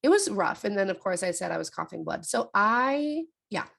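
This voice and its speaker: A woman's voice: medium pitch, big pitch swings, normal speed, vocal fry, fairly bright tone. A congested young woman co-hosting a casual podcast, playful and agreeable as she tells stories. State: congested from a cough. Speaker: podcast co-host. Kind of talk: casual chat.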